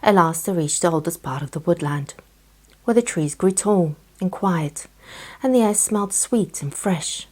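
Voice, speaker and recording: A woman narrating a children's bedtime story in a soft, breathy, gentle scripted style. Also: lowish voice, expressive, normal speed.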